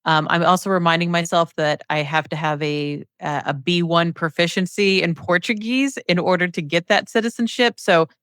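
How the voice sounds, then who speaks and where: A woman's voice: on the low side, steady tone, moderate pace, few pauses, a bit bright, dry recording, studio quality. A woman hosting a podcast, talking casually and hesitantly with many fillers. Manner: chatty, hesitant, with "um" and "you know" fillers. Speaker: podcast host.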